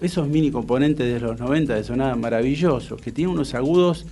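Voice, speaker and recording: A man with a Rioplatense Argentine accent hosting a radio interview, telling anecdotes in a casual, animated way and acting out what people said. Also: mid-range voice, fast, faint music behind. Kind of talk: casual chat, storytelling.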